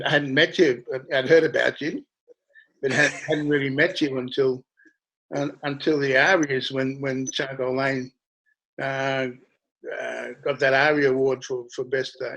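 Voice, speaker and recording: A man remembering the past in a halting, soft-spoken way, with many hesitations. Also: mid-range voice, poor audio. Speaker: musician. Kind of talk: casual conversation.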